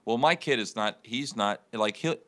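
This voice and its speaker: Guy with a really deep voice, chatty morning radio host, lots of restarts and "you know"s. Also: normal speed, a bit bright. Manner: rambling storytelling with many restarts. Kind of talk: casual morning show chat.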